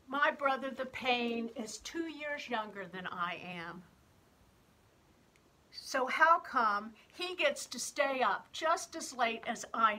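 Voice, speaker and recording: Storybook woman performer as an aggrieved eight-year-old: bright, deliberate delivery, whiny and emphatic. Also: fairly high pitch, exaggerated voice. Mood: indignant. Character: jealous, indignant eight-year-old third grader griping about younger brother. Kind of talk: expressive children's picture book reading.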